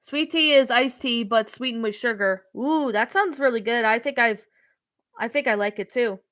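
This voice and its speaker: A young woman with a Canadian accent and a high voice, chatting on a live stream. Her tone is bright and clear. She answers viewers casually, pausing on ums and restarting her sentences. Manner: hesitant, many ums and restarts. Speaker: streamer reading chat.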